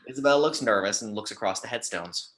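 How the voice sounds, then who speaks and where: A woman's voice: extremely low pitch, quick pace, a bit bright. A woman reading scripted stage directions in an amateur table read.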